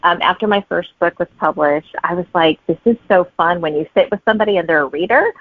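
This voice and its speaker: A woman's voice: on the low side, quick pace, no echo. A woman guest chatting casually in an interview, telling anecdotes and acting out remembered remarks. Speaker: guest author. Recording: narrow, phone-like dry audio.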